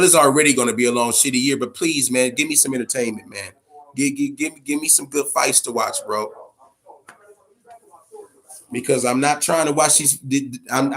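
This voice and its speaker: A man's voice: mid-range voice, a bit bright. A man with an American accent hosting a casual boxing chat show, giving opinions in profane, slangy language and repeating phrases for emphasis.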